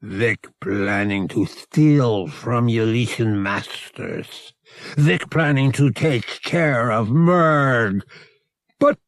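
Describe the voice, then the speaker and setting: Slow, menacing giant cat-alien. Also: male voice, medium pitch, no echo, clean studio sound. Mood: menacing accusation. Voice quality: growling, snarling. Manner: slow, halting broken speech in third person. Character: huge furry male feline alien guard, grim and menacing. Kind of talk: audiobook character voice.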